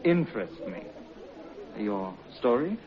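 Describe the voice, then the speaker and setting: An actor with a British accent playing a wry, amused friend listening to a tale in an old radio drama. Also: male voice, medium pitch, sing-song, slow pace. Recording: dull old radio recording, crowd chatter.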